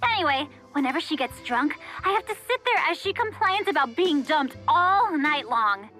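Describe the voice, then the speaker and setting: Snarky young female gossip, very high pitch, swooping melodrama, video game character. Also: very animated, sharp tone, full character voice. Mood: exasperated, gossipy. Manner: dramatic, snarky, drawn-out emphasis. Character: chatty, gossipy, exasperated young woman office worker. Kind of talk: animated video game voice acting. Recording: studio voice over background music.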